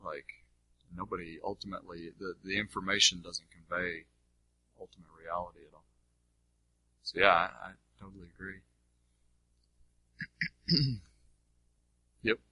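A man's voice: low voice, a little slow, long pauses, pushed and bright, husky, no room sound, poor audio. A man giving unscripted teaching as he answers audience questions, explaining emphatically and checking in with his listeners.

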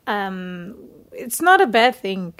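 A young woman from Portugal with a Portuguese accent, a native Portuguese speaker telling her story in an interview, often pausing to search for words in English. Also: medium pitch, sing-song, fairly bright tone. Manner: hesitant, searching for words in second-language English. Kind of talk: casual personal storytelling in an interview.

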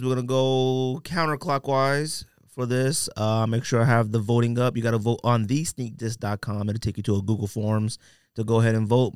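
A man's voice: medium pitch, smooth, no room sound, clean studio sound. A man hosting a podcast, chatting casually and bantering about sports and sneakers with friends. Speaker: podcast host.

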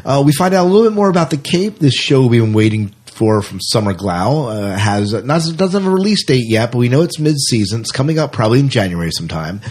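A man's voice: medium pitch, sing-song, fast, few pauses, a little mellow, husky. A man hosting a science fiction podcast, talking enthusiastically and rambling a little with fillers. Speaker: podcast host. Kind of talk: casual podcast chat.

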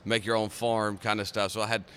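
A man's voice: lowish voice, little pitch movement, a little fast, a bit bright, clean tone. A man chatting casually as a guest in a podcast interview. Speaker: podcast guest, seminary professor. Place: conference center during a busy event. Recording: faint busy venue noise behind.

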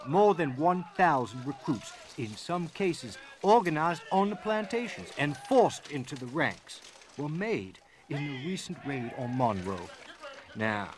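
Guy acting a stern confederate officer, formal and declamatory, voice a bit high, reading a report to his general on stage. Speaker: actor in a dramatic reading. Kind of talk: theatrical reading of a military letter.

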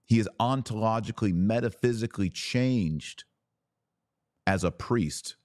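Low-voiced man explaining religion on a podcast. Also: a little mellow, dry recording, studio quality. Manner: emphatic, deliberate phrasing with stressed key words. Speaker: podcast host.

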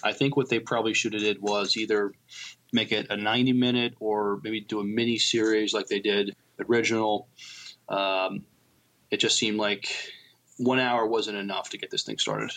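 A man's voice: fairly low pitch, moderate pace, a bit bright. A man co-hosting a podcast in casual discussion. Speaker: podcast co-host.

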